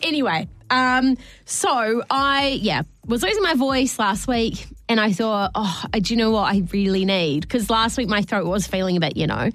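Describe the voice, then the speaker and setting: A woman's voice: a little high, lively intonation, normal speed, hardly any pauses, fairly bright tone, no room sound. A woman co-hosting a radio show, telling a rambling story in casual on-air chat, laughing often, her voice a little worn. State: slightly losing her voice. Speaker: radio co-host.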